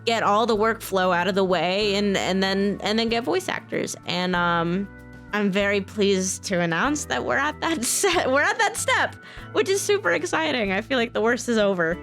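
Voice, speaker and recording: A woman talking casually in a vlog announcement, informal and halting, often restarting her sentences. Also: mid-range voice, animated, fairly bright tone, faint music behind. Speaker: voice actor, software engineer and game modder.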